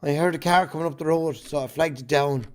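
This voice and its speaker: A man with an Irish accent acting a defensive, guilt-ridden farming man in an amateur drama, speaking haltingly. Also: on the high side, natural voice. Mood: halting, remorseful.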